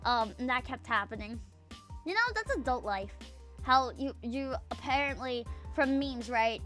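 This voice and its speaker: A young woman with a bright, fairly high voice rambles through a casual live stream, swearing freely and stumbling over fillers and false starts. Faint music plays behind her. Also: animated, a little slow. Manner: rambling, profane, filler-heavy, trails off mid-sentence. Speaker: VTuber streamer. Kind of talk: casual stream chat, reading chat aloud.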